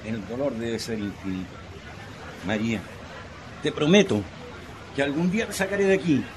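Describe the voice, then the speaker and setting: A man's voice: medium pitch, normal speed, lightly acted. A man playing an earnest, poor Chilean shantytown husband in an emotional radio drama. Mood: solemn, consoling. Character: desperate poor shantytown husband, consoling and resolute. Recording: noisy, with crowd ambience behind.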